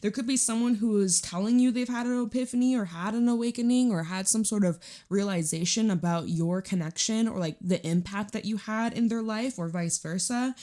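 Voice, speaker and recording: A woman with a raspy, hoarse voice giving a casual, chatty tarot reading, informal and emphatic, repeating phrases for emphasis. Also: mid-range voice, brisk, hardly any pauses, mellow. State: hoarse, recovering from losing voice. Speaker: tarot reader and channel host.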